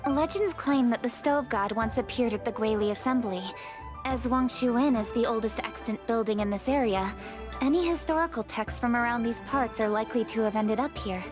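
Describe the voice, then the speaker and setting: A woman playing a composed, businesslike young noblewoman official in a video game, formal and brisk. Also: fairly high pitch, music in the background, lightly acted.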